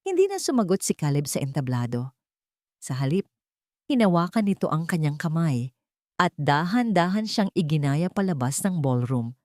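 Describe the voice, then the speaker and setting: A woman's voice: low voice, big pitch swings, normal speed, a little mellow, dry recording, clean studio sound. A woman reading a scripted romance story as narrator, in a solemn, emotive dramatic style.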